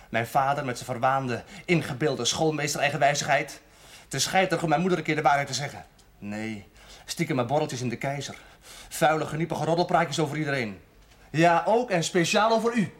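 A man acting a young male prisoner in a TV drama, spiteful and defiant. His voice sits a little high, and he talks in a sarcastic, mocking sneer. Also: normal speed, natural voice. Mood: bitter, scornful. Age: young adult.

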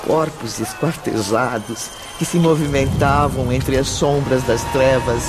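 Solemn male radio-drama narrator. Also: mid-range voice. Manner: solemn, theatrical.

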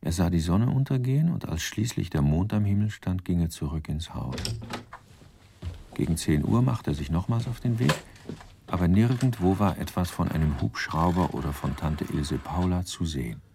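An actor as the wry, composed narrator of a radio crime drama, reading scripted literary narration. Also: male voice, low-pitched, expressive, moderate pace, creaky, dark tone, a little hiss or hum.

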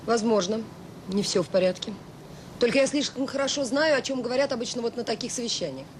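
A woman's voice: expressive, fast talker, close to an everyday voice. A woman acting in an audio drama as a blunt, weary, middle-aged orphanage director, plainspoken and unintimidated. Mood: guarded, dry. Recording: old audio play with faint rumble.

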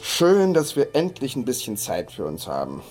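An actor playing a sardonic police detective in a radio drama, teasing and wryly polite. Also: male voice, mid-range voice, wildly swooping pitch, moderate pace, darkish tone, faint music behind.

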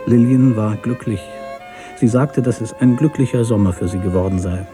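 A man narrating a literary story for radio in a measured, deliberate way. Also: fairly low pitch, steady tone, music in the background.

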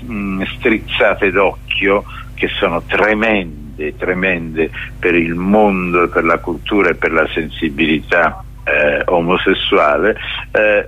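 A man's voice: low-pitched, slightly rough, narrow, tinny audio. A middle-aged or older man answering in a radio interview, speaking hesitantly and searching for his words. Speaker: festival curator interviewed as guest.

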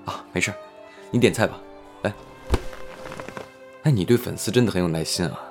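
A low-voiced, reserved actor. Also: male voice, brisk, creaky, a little mellow, faint music behind. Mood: calm, friendly. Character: reserved, gentle older male actor, self-doubting. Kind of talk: Chinese audio drama acting.

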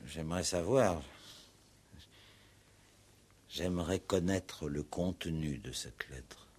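A man playing a gruff, insistent police commissioner in a 1960s French-language radio play, with colloquial, probing talk and hesitant repetitions. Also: deep voice, slow pace, darkish tone, exaggerated voice. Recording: vintage 1960s radio drama recording.

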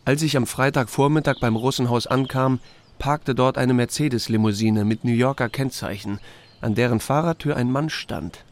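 An actor playing a dry, steady small-town sheriff, narrating and talking in a radio drama. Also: male voice, mid-range voice, normal speed, natural voice. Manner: dry, understated. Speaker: narrator and lead character.

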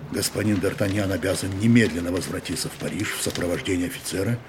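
An actor playing a resolute musketeer captain in command of an expedition in a radio drama, authoritative and declamatory. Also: male voice, low-pitched, quick pace, noisy background, natural voice. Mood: stunned, grave. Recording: loud music under radio play. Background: music.

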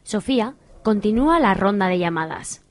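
A woman's voice: mid-range voice, lively intonation, moderate pace, no echo. Woman narrating a radio drama.

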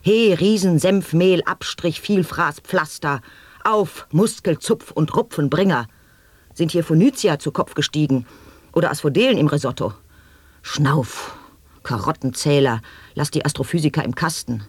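An actor in a radio play declaiming nonsense sound poetry in slow, halting word-by-word exclamations. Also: male voice, a little high, breathy edge, no room sound.

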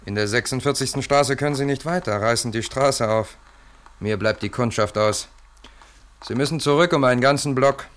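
An actor in a German radio play as a gruff, matter-of-fact barman, talking in short casual sentences. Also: medium pitch, moderate pace, fairly bright tone, close to an everyday voice. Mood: laconic. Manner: terse, casual, clipped sentences. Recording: faintly noisy old radio recording.

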